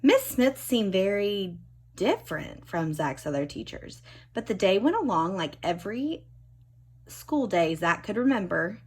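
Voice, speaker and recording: A woman reading a children's storybook expressively, with dramatic emphasis and drawn-out key words. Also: medium pitch, sing-song, a little mellow, slightly rough. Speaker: storybook reader.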